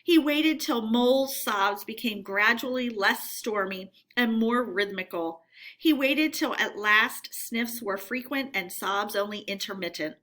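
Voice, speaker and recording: Bright-voiced woman reading a story aloud. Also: on the high side, measured pace. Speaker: read-aloud narrator.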